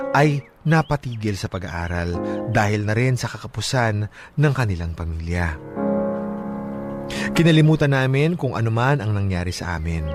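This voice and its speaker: Male radio host and letter reader, mid-range voice, storytelling delivery, prominent music bed underneath. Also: mid-range voice. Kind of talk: radio chat and dramatic letter narration. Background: loud music.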